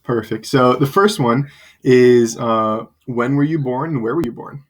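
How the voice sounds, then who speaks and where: A male student runs an interview for a class, and his voice sits at a middle pitch. He is polite and reads his questions with frequent hesitations. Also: a little slow. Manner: polite, with many ums and uhs. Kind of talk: scripted interview questions over a call.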